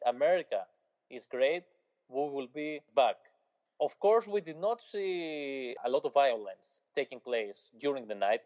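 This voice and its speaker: A man from Greece with a Greek accent, a journalist giving an interview, speaking in short measured phrases with pauses. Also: mid-range voice, darkish tone. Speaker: journalist guest. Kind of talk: interview answers, eyewitness account. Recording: slightly dull lo-fi audio.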